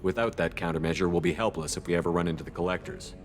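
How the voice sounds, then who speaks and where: A steady male sci-fi commander played by a man speaks in a deep voice at a quick clip. His game dialogue is terse and direct, with light music behind. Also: lightly acted. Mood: focused, businesslike. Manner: terse, matter-of-fact. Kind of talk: scripted video game dialogue.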